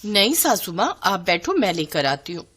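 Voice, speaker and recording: A spoiled young bride from a cartoon family tale, put on by a woman narrator. She is breezy, entitled and bossy, making demands of everyone. Her pitch jumps around in a very lively way. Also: normal speed, husky.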